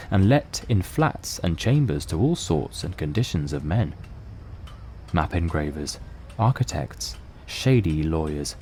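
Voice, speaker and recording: Very deep, dark-toned male narrator. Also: a little hiss or hum. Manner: measured, literary. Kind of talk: scripted dramatic story narration.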